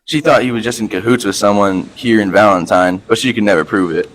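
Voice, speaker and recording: A man's voice: lowish voice, fairly quick, slight background noise, close to an everyday voice, poor audio. A man acting a reverend with a hoodlum past in an amateur radio play, talking in street slang and folksy storytelling. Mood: confiding.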